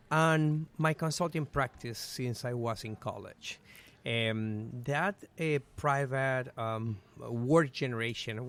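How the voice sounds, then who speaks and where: Man, venezuelan spanish accent, middle-aged, smooth resonant voice, earnest, lots of "eh" fillers. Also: medium pitch. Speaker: guest. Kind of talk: conversational interview answers.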